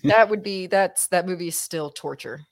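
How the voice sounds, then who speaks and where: Woman podcast co-host, somewhat low voice, opinionated, conversational. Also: moderate pace. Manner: opinionated, laughs often. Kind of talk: casual film-watching podcast chat.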